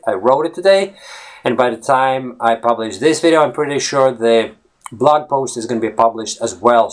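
A man whose first language is Latvian, coaching English in a casual talk-to-camera vlog. He rambles off topic and keeps checking in with "right?" and "okay?". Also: mid-range voice. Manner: rambling, veers off topic, asks "right?" often.

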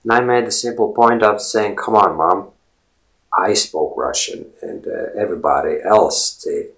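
Gravelly Latvian man, rambling monologue. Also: on the low side, a little slow, fairly bright tone. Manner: rambling, filler-heavy, repeats words. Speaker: language blogger. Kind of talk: casual monologue.

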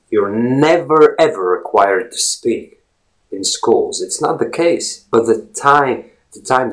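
A man's voice: on the low side, low-quality recording. A Latvian man in his forties, a native Latvian speaker talking in English, giving a rambling casual monologue with many fillers and repeated words. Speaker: language blogger.

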